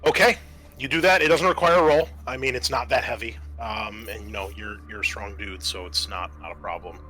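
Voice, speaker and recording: Bright-voiced man running a tabletop game. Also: lowish voice, brisk, poor audio. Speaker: game master. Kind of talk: improvised narration of a tabletop game.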